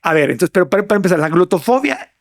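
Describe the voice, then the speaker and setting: A man hosting a talk show, lively and jokey, often chiming in. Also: high voice, rapid-fire, vocal fry, dry recording, studio quality. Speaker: host.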